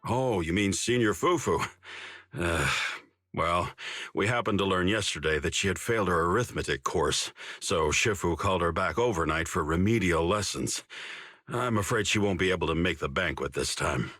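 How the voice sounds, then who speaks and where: An actor playing a shrewd, easygoing young senior disciple in a video game, talking in a casual, persuasive way. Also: male voice, deep voice, lively intonation, moderate pace, bright, forceful tone, dry recording, studio quality, full character voice. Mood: breezy, explaining. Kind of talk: English video game voice acting.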